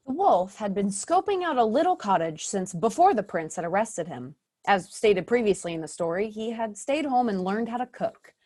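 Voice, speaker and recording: A woman's voice: medium pitch, big pitch swings, normal speed, a little mellow, no echo, studio quality. A woman narrating a comic fairy-tale radio play with a wry manner. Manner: wry, storytelling.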